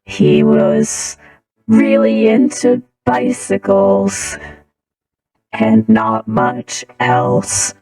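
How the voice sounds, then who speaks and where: A woman's voice: a little high, fairly even intonation, drawn-out words, creaky, distant mic, music in the background, poor audio. A woman telling a casual comic personal story through an autotune voice changer. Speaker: streamer.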